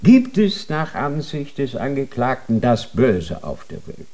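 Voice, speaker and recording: A stern, formal inquisitor. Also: male voice, mid-range voice, big pitch swings, a little slow, dark tone. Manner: formal, cold, interrogating. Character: stern male inquisitor of a holy tribunal. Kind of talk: radio drama acting.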